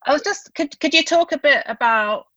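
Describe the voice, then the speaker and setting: A woman hosting a podcast, asking measured, slightly hesitant questions. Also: high-pitched, expressive, sharp tone, dry recording. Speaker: podcast host. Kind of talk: interview questions. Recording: dry, dull remote audio.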